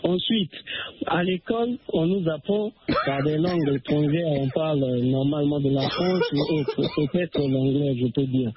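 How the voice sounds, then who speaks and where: A man's voice: a little high, normal speed, background noise. A man from the Republic of the Congo calling in to a radio show, speaking hesitantly with many fillers and restarts. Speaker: radio caller. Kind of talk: phone-in call on a radio show. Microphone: telephone. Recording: tinny phone line, dry.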